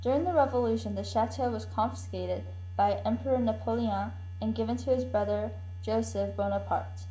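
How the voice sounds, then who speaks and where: A woman's voice: a little high, steady tone, normal speed, hardly any pauses, dark tone, lightly acted, poor audio. A woman playing an enthusiastic child tourist telling of a family trip in a scripted puppet show. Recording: faint hum and noise.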